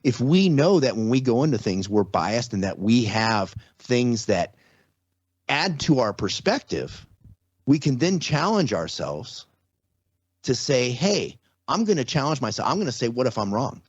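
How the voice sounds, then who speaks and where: A man's voice: mid-range voice, very animated, a little slow, breathy edge, dry recording. A man in his forties or fifties, a podcast guest, explaining ideas earnestly in casual talk with many restarts. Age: 40s or 50s. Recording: slightly dull lo-fi audio.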